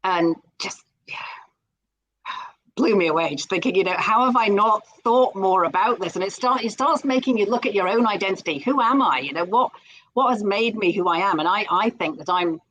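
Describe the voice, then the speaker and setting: A woman's voice: medium pitch, normal speed, a bit bright, rough recording. A middle-aged Scottish woman speaking as a guest in a live interview, enthusiastic and often repeating words as she starts a sentence. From Scotland.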